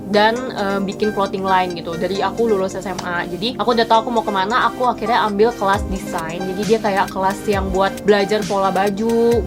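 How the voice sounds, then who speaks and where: Brief: young woman in her early twenties, conversational vlogger, mid pitch, music bed underneath. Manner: chatty, mixes English phrases into her speech. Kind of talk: casual Q&A chat while snacking. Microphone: home microphone.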